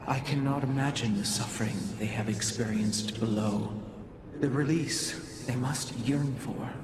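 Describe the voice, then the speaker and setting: Male actor as haunted devout alien warrior, slow sombre speech, formal game drama, echoing sound. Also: medium pitch, close to an everyday voice. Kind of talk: dramatic video game voice acting. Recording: echoey with clear background noise.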